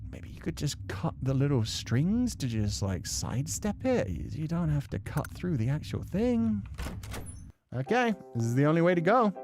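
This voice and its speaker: A man's voice: fairly high pitch, big pitch swings, moderate pace, darkish tone, slight background noise. A man doing casual let's play commentary, muttering sweary asides and reading bits of game text aloud to himself. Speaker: gaming streamer.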